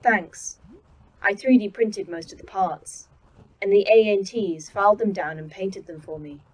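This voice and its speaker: A woman voicing a calm female AI that runs a secret facility, speaking in a precise, dry manner in an audio drama. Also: mid-range voice, measured pace, close to an everyday voice, rough recording. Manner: precise, dry, oddly proud of hobbies.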